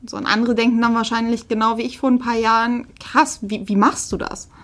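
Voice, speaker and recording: Higher-voiced woman chatting on a podcast. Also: little pitch movement, brisk. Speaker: podcast co-host. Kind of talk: casual conversational storytelling.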